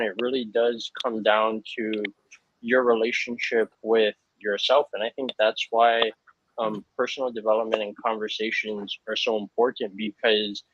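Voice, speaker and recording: A man's voice: fairly low pitch, no echo. A man from Hawaii speaking as a panel guest, earnest and thoughtful, with frequent fillers. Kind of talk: reflective panel talk. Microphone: video call. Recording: dull lo-fi, dry sound.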